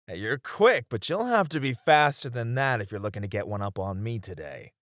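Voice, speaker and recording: A man's voice: mid-range voice, very animated, brisk, very noisy, close to an everyday voice. A man playing a confident, teasing knight bantering with an old comrade in an audio roleplay. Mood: spirited, challenging. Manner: playful teasing with frequent chuckles. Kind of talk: ASMR romance audio roleplay, one-sided dialogue.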